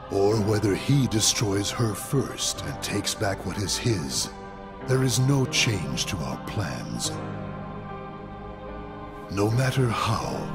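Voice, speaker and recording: A cold evil boss from a video game, real deep n slow, bossy and measured. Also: male voice, full character voice. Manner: measured, commanding, theatrical. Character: cold, calculating villainous leader of a secret order. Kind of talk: video game cutscene acting. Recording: music behind.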